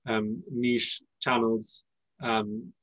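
A man who is a native Persian speaker, answering interview questions as a company founder in a conversational, explanatory way with pauses mid-phrase. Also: on the low side, little pitch movement, a bit bright, no echo, muffled recording.

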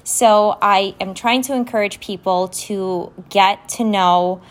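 A woman whose first language is Romanian, hosting a podcast and going slowly through vocabulary words, spelling each one letter by letter. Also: medium pitch. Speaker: podcast host. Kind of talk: vocabulary lesson, casual spelling and definitions. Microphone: phone app recording.

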